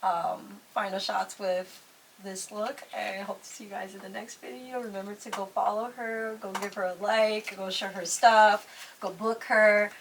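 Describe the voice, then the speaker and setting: A woman's voice: mid-range voice, fairly even intonation, measured pace. A young woman with a New York accent hosts a casual chat with a makeup artist over a card game, full of fillers and laughter. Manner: chatty, laughs often, fillers like "like". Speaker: host interviewing a makeup artist. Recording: distant mic with clear background noise.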